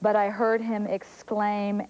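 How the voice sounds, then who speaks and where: A woman works as a local morning news anchor, speaking at a medium pitch. She presents to viewers and chats with her co-anchor, heard on an old lo-fi VHS recording of a television broadcast. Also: completely flat delivery, takes it slowly, darkish tone, slight background noise.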